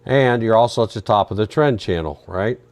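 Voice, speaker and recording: Low-voiced man losing his voice. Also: lively intonation, a little fast, darkish tone. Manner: chatty, answers viewer questions while reading charts. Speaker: live trading stream host. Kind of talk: casual live market commentary.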